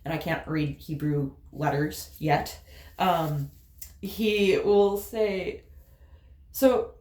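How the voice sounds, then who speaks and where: A woman's voice: low voice, extremely slow, darkish tone, reverberant. A woman with an Appalachian accent chatting casually as she does her makeup, rambling through a story with fillers and laughs. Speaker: vlogger. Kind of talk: casual chat while doing makeup.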